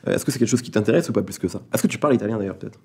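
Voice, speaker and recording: Fast-talking gravelly male interviewer. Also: fairly low pitch, darkish tone. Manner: quick, conversational questioning. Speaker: interviewer host. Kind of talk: casual interview.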